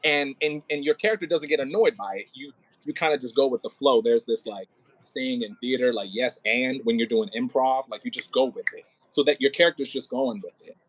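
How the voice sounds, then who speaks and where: A man's voice: mid-range voice, fairly quick, no room sound, rough recording. Man casually coaching an actor through lines. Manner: informal, demonstrates lines by acting them out. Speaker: audio play director coaching an actor. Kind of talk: casual rehearsal coaching and line notes.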